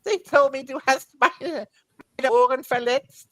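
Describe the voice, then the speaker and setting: A woman with a Southern Mississippi accent talks casually as a podcast co-host. Her voice sits a little high, and the sound is close and dry. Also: measured pace, a bit bright, no echo. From Mississippi, United States. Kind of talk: casual chat.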